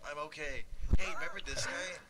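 A man's voice: on the high side, a little fast. A man improvising roleplay banter in an online game, rambling playfully. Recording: noisy game voice chat with clicks.

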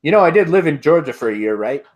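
A middle-aged man hosting an online auction, calling bids in a steady repetitive rhythm and laughing often, recorded through an earbud headset on a video call at home. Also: on the high side, fast talker. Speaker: auctioneer host. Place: home room with sports memorabilia.

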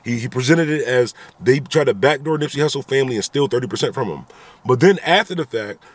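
A man's voice: medium pitch, expressive, quick pace, no room sound. A man in his thirties talking animatedly into a phone camera inside a car, giving his opinions in a vlog with repeated rhetorical asides. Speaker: vlogger. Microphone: phone camera at arm's length.

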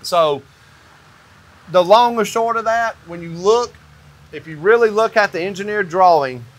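A man's voice: sits high, unhurried. A man in his fifties giving a hands-on explanation as a builder, making his points emphatically, step by step. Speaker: company owner explaining construction. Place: indoors in a metal-walled barn or shop.

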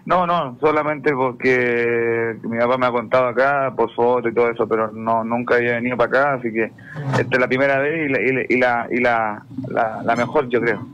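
A young Chilean man, a football player guest on a radio show, answering haltingly with many repeated words and frequent "claro". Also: mid-range voice, fairly even intonation, fairly bright tone, muffled recording, slight background noise. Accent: Chilean Spanish.

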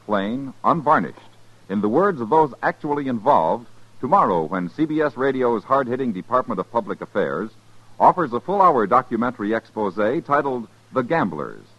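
A man's voice: medium pitch, big pitch swings, normal speed, fairly bright tone, husky, no room sound. A man making a dramatic, emphatic scripted announcement as a 1950s radio announcer. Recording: dull 1950s radio recording.

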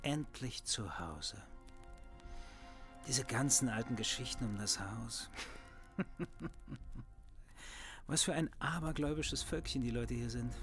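A man's voice: mid-range voice, takes it slowly, pauses often, fairly bright tone, soft background music. An actor playing a middle-aged, irritable, sarcastic father in a horror radio play, with a blustering, scolding manner. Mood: grumbling, smug. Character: gruff, sarcastic, irritable father who drinks. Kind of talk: German horror audio drama acting.

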